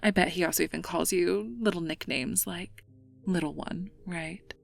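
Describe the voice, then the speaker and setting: A woman acts out a regal goddess in an ASMR audio drama, playful yet wistful. Her voice sits low with a creaky edge, often sinking into a breathy whisper, and she muses in a slow, teasing way. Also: soft background music. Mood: intrigued, musing. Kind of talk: intimate ASMR roleplay acting.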